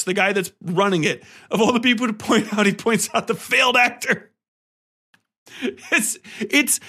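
A man podcast host giving a casual, rambling film review, enthusiastic and profane, often halting and repeating himself. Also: high-pitched. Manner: animated, enthusiastic; profane, slangy.

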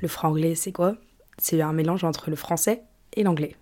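Young woman from england chatting on her podcast, kinda low warm voice, lots of du coup and restarts. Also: normal speed. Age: young adult. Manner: chatty, rambling, many fillers and restarts. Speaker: podcast host. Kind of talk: casual solo podcast chat.

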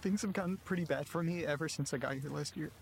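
Actor as an anxious, halting young man, higher-pitched voice. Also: gravelly, slight background noise. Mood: anxious, pleading. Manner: hesitant, halting, faltering, pleading. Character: anxious, distressed young man phoning his mother. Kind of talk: audio drama acting, one-sided phone call.

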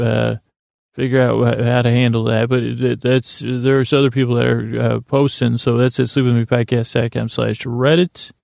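Gravelly, creaky-voiced male sleep podcast host. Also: mid-range voice, a little mellow, no room sound, studio quality. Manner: meandering, halting, self-interrupting; self-deprecating, whimsical. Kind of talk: rambling bedtime monologue.

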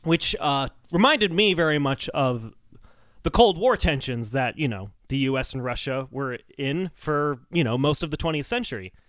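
A man's voice: medium pitch, expressive, normal speed, fairly bright tone, dry recording, clean studio sound. A man hosting a comedic podcast, chatting casually with a jokey, mock-serious delivery. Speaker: podcast host.

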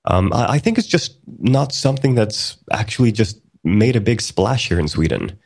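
A man co-hosting a casual podcast, explaining at length in a relaxed way with many ums and restarts. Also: fairly low pitch, moderate pace, gravelly, slightly rough. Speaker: podcast co-host.

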